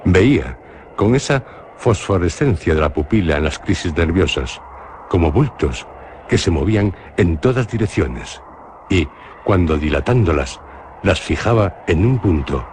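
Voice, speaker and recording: A man with a Spanish accent narrating a suspenseful radio drama in a slow, grave, measured way. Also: low voice, very animated, a little mellow, slight background noise. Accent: Spain.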